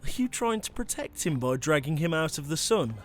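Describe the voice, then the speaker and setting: A man's voice: a little high, big pitch swings, a little fast, a little hiss or hum. A man playing a taunting, impatient monster hunter with a casual, sardonic menace, in a dramatic ASMR audio roleplay. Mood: smug, taunting.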